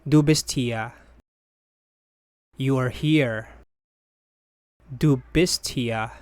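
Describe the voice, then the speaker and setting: A teacher reading short phrases for learners, saying each one deliberately and repeating it. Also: medium pitch, a little mellow, no room sound, studio quality. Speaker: language teacher.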